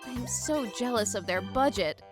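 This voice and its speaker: Woman voicing a high, animated young office worker. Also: moderate pace, music in the background, natural voice. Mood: hesitant. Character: eager hot-blooded young female office worker, easily exasperated. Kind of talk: animated manga voice acting and narration.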